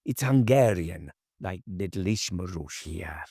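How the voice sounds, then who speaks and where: A man voicing an eerie, poker-faced Hungarian man with a smooth, polite and guarded delivery in an audiobook. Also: sits low, lively intonation, measured pace, mellow, no echo, clean studio sound, heavily acted. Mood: guarded, deadpan. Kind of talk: audiobook character voice.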